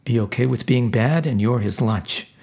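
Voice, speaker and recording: A man voicing an articulate male angel who lays out the soul's mission in an earnest, emphatic, explanatory way, with a husky tone. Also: medium pitch, moderate pace, a little mellow.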